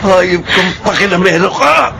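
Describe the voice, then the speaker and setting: An actor in a Filipino radio drama playing an obsessive, menacing suitor with a gloating, threatening manner. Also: male voice, high-pitched, expressive, a little slow, vocal fry, heavy background noise, heavily acted. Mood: angry, threatening. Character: obsessive, menacing male suitor plotting an abduction.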